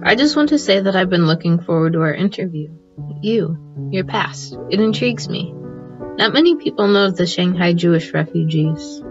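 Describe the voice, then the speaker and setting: An earnest young female interviewer, played by a woman in a radio play, polite and careful. Her voice is fairly low, slightly muffled, with music behind. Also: expressive, normal speed, lightly acted. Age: young adult. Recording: dull audio with music behind.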